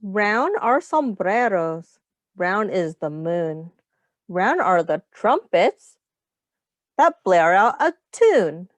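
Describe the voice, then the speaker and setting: A woman teacher reading a picture book aloud to young children, explaining things in simple phrases and pausing to ask questions. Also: mid-range voice, very animated, no room sound.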